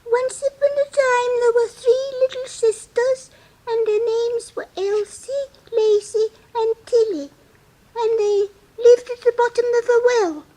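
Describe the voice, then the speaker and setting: An actor voicing a sleepy, querulous little dormouse with a drawn-out whine in a 1950s storybook recording. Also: extremely high pitch, fairly even intonation, dark tone, poor audio. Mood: sleepy storytelling. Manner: drowsy, drawn-out, whining.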